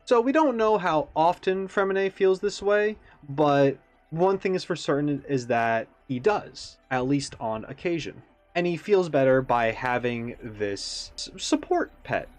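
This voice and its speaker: A man's voice: on the high side, expressive, unhurried, soft background music. A man giving casual, reflective reaction commentary, rambling as he thinks aloud with self-aware asides. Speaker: reaction video creator. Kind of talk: casual, reflective commentary on a game character video.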